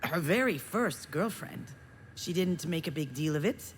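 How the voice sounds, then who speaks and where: A woman's voice: deep voice, expressive, a little slow, slight background noise. An actress playing a frustrated, self-deprecating woman who narrates her family wounds in a confiding, bitter game monologue. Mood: regretful, confiding. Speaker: visual novel protagonist. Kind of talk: dramatic first-person game narration.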